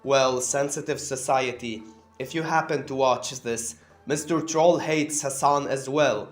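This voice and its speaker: A man's voice: medium pitch, fairly even intonation, measured pace. A man hosting a commentary channel, narrating in a sarcastic, scornful way. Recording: roomy room, faint music behind.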